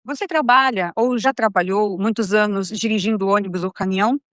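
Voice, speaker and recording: A middle-aged woman lawyer presenting a scripted legal advice segment, didactic and addressing the viewer directly in measured phrases. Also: mid-range voice, fairly bright tone, no room sound, clean studio sound. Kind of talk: scripted explainer to camera.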